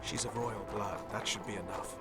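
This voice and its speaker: A man's voice: lowish voice, fairly even intonation, normal speed, over music, natural voice. A male actor playing a hard, vengeful special forces commander in a video game, speaking in a stern, blunt, resolute manner. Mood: subdued, firm. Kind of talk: dramatic video game acting.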